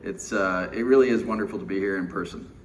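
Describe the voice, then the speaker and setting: A man's voice: sits low, fast. A middle-aged man from Texas with an American accent, giving an informal, wry keynote speech. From Houston, Texas, United States. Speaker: keynote speaker. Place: windowless indoor conference room. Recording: distant mic, faint noise, room ambience.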